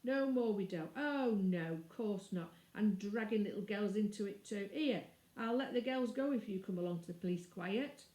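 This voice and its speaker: A gruff male horse dealer from a children's storybook, acted out by a woman. He sneers and bullies in rustic, colloquial speech. The sound is roomy, with a mellow edge. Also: mid-range voice, expressive, moderate pace, hardly any pauses, lightly acted. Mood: sarcastic.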